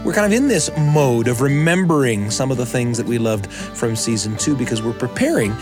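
A man hosting a radio storytelling show, speaking with expressive, theatrical emphasis. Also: medium pitch, fairly quick, over music. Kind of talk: storytelling radio presenting and chat.

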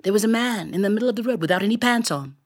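Female live storyteller, theatrical and highly animated, husky tone, acts out sound effects in a comic tale. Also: very fast. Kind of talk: comic folktale performed live. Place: radio studio, live.

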